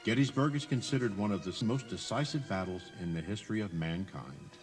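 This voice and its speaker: A man's voice: fairly low pitch, brisk. A man giving scripted, dramatized tour narration in an emphatic, theatrical storytelling manner. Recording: 1980s cassette tour tape, music behind.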